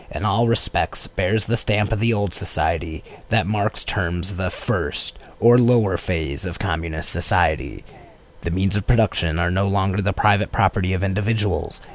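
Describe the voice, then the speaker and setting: Man, low voice, formal measured audiobook narration, scripted political prose, noticeable background noise. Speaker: audiobook reader.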